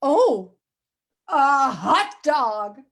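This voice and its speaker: A woman voicing a greedy, excitable male pigeon from a storybook, in a put-on American accent with loud, drawn-out, exaggerated delivery. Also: high-pitched, creaky, exaggerated voice. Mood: gloating. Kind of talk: children's storybook reading.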